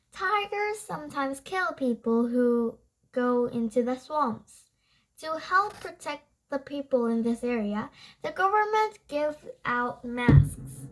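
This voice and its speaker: A young-sounding reader reads a nonfiction book aloud haltingly, stumbling over words and correcting themselves. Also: high-pitched, low-quality recording.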